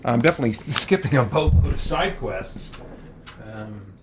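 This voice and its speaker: A man's voice: mid-range voice, expressive, normal speed, noisy background. A man hosting a video game playthrough, musing and thinking aloud in casual commentary as if talking to himself. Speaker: video game let's player, host.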